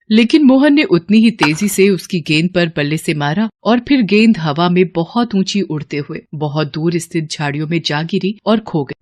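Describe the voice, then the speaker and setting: A woman's voice: fairly low pitch, darkish tone, no room sound. A woman narrating a scripted horror story for children as a storyteller.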